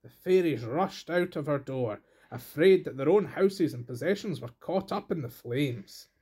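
A male storyteller narrates a traditional tale from a script. His voice is pitched a little high for a man, and he reads it as a storybook narration. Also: animated, unhurried, mellow, slightly rough.

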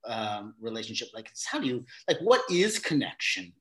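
A man's voice: medium pitch, big pitch swings, normal speed, sharp tone, slight background noise. A middle-aged man, a guest on an interview show, explaining his theater company's new work in a rambling way, often restarting his sentences. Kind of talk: casual interview talk.

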